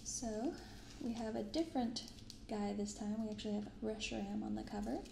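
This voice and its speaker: A young woman talks in a soft, gentle ASMR manner with a good deal of vocal fry. She chats casually and takes her time. The binaural recording sounds roomy, with clear background noise. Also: medium pitch, fairly even intonation. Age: 20s. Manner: soft-spoken, gentle, unhurried. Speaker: host of a card-opening ASMR channel. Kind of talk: soft-spoken ASMR, casual unboxing chat.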